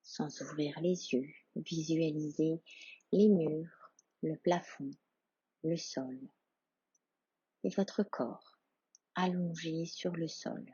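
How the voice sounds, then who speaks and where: A woman leading a guided yoga nidra meditation as instructor, hushed, calm and soothing, leaving long pauses between short phrases. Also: low voice, slightly rough, no echo, muffled recording.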